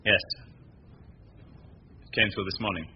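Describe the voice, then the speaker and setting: A man's voice: on the low side, steady tone, measured pace, lightly acted. A man playing a self-assured doctor with a criminal side in a 1940s radio drama, speaking in a clipped, assured way. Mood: curt, conspiratorial. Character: smooth-talking doctor, secretly a cool criminal. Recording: dull, noisy old 1940s radio recording.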